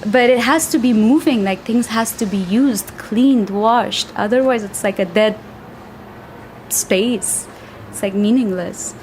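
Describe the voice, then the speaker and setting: A woman's voice: on the high side, unhurried, darkish tone, slight background noise, low-quality recording. A woman talking casually and reflectively in an interview. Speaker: resident of a collective house, interviewee.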